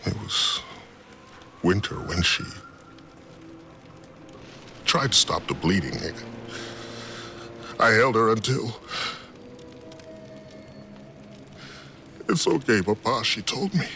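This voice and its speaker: An actor playing a weary, grieving father and war survivor in a video game cutscene, with a low, gruff delivery. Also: sing-song, takes it slowly, pauses often, pushed and bright, a little hiss or hum, exaggerated voice.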